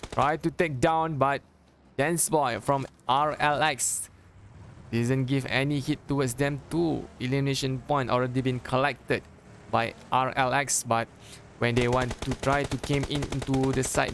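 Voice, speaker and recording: A man from Malaysia with a Malaysian accent, non-native English, giving halting play-by-play commentary for a mobile battle game, repeating and restarting phrases. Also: mid-range voice, background noise. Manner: gets excited during fights. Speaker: esports caster.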